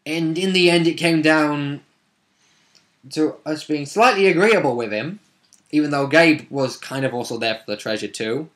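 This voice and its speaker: A man's voice: a little high, moderate pace, a bit bright. A man telling a casual, unscripted recap of a tabletop roleplaying session, wordy and rambling, with asides and self-corrections.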